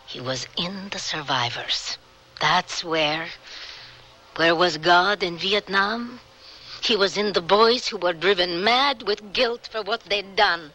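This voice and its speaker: Grave woman survivor, bright voice, solemn and deliberate, forgiving, radio drama. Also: on the low side, poor audio. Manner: solemn, deliberate, rhetorical delivery.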